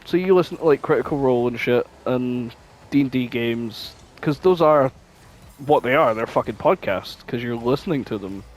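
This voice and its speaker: A man's voice: mid-range voice, normal speed, rough recording. A man chatting casually as a podcast co-host, blunt, opinionated and free with swearing. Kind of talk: casual chat, reminiscing.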